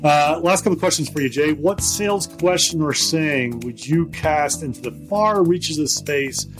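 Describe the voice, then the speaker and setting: A man's voice: fairly high pitch, moderate pace, soft background music. A man from Scotland, hosting a casual interview in a friendly, chatty way. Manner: friendly, conversational, reads poll options aloud. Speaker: podcast host.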